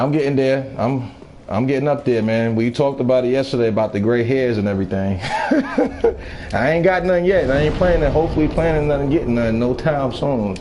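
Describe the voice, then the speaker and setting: A man in his thirties chatting casually with viewers while gaming, laid-back, talkative and quick to laugh. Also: medium pitch, warm tone, a little hiss or hum. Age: 30s. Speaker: gaming streamer. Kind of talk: casual live-stream chat while gaming.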